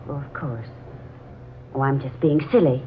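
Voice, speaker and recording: A woman's voice: very deep voice, normal speed. An actress playing an uneasy, hesitant young woman in a 1940s radio drama. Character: Laura, uneasy young woman sensing strangeness. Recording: muffled, hissy 1940s radio recording.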